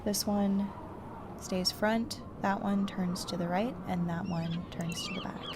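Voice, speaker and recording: A woman's voice: medium pitch, unhurried, noisy background. A woman giving casual commentary as she plays a video game, thinking aloud to herself. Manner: thinking aloud, self-talking. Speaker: game streamer.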